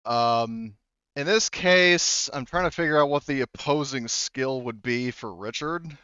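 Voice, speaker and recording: A man speaking as game master of a tabletop roleplaying game, halting as he thinks aloud. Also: medium pitch, sharp tone, clean tone, dry recording, lo-fi sound.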